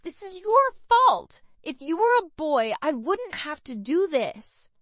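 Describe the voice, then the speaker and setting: A frantic, possessive woman who shrieks and wails, put on by a woman reading the story. The voice sits high and swings wildly in pitch. It is recorded close and dry, with no room sound. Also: sing-song, slow, fairly bright tone, no echo, exaggerated voice. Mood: shrieking. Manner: shrill, screaming.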